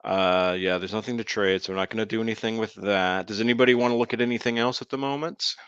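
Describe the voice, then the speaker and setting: A man's voice: lowish voice, steady tone, fast talker, fairly bright tone, clean tone, no echo. A man streaming a game, chatting casually with his viewers and laughing often. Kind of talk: casual live-stream commentary. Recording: dull lo-fi dry sound.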